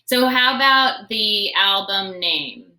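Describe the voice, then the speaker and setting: A woman's voice: medium pitch, expressive, slow, bright, forceful tone, poor audio. A woman interviewer asking upbeat, encouraging questions. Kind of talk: casual interview chat.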